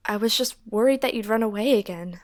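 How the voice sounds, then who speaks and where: Male narrator voicing a worried, gentle mother in a storytelling piece; soft and pleading, pitched up. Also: a little fast, close to an everyday voice.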